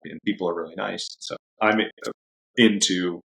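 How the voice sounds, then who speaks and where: A man, a dog trainer, chatting casually as a podcast guest in a relaxed, rambling way, laughing often. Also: sits low, normal speed, creaky, husky, rough recording. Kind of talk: casual interview chat.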